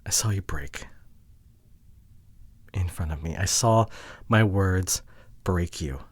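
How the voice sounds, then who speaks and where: Man acting a husky, regretful, wounded boyfriend. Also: deep voice, dry recording. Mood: remorseful, subdued. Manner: halting, emotional, often dropping to hushed near-whispers. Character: regretful, wounded boyfriend pleading with his partner. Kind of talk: ASMR roleplay audio drama.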